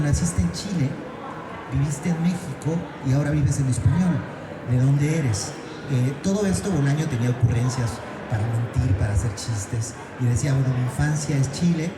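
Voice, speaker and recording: A man from Oaxaca with a Mexican accent, talking as a literary panelist in an enthusiastic, digressive, conversational way. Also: on the high side. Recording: echoey venue with music behind.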